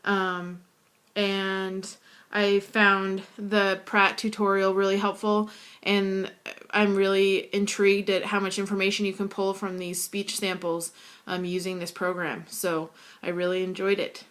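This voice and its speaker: Deep, hoarse woman with vocal fry. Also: completely flat delivery, measured pace, a bit bright. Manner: hesitant, frequent fillers. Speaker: student. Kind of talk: casual video assignment to camera.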